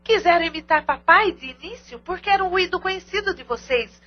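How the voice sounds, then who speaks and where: Woman playing loyal earnest aunt, high voice, audio drama, dull recording. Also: expressive, fairly quick. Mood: earnest, reasoning. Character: sensible, loyal aunt reasoning over a mystery.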